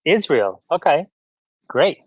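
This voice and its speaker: A man hosting a live audio space, casually chatting and moderating callers in a friendly, brisk way. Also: on the high side, sing-song, slightly rough, no room sound.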